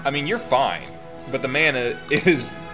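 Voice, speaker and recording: A man's voice: mid-range voice, expressive, unhurried. A man reading a story game aloud in a playful, dramatic way, with casual joking asides. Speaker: gaming channel player reading the game aloud. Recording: music playing behind the voice.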